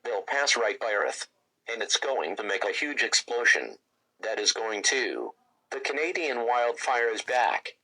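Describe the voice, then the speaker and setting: A man's voice: medium pitch, big pitch swings, measured pace, bright, forceful tone, heavily acted, rough recording. A man playing an urgent emergency news announcer, reading a scripted bulletin in a formal way. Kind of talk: scripted emergency broadcast narration.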